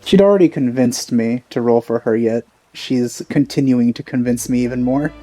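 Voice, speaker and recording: Let's play host, male. Mellow tone, informal rambling reaction commentary, faint music behind. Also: medium pitch, moderate pace. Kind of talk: casual gameplay reaction commentary.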